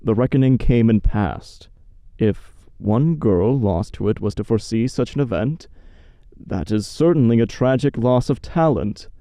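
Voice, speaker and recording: A man playing a cold, grandiose villain who rules a dream realm, speaking with measured menace in an audio drama. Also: medium pitch, lively intonation, warm tone, dry recording. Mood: cold disdain.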